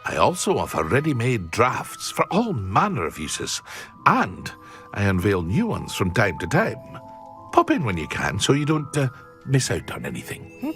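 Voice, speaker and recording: Chatty cheerful potion shopkeeper. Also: male voice, lowish voice, huge pitch swings, moderate pace, a bit bright, soft background music, heavily acted. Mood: enthusiastic. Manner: eager, talkative, fond of his craft. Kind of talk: video game cutscene.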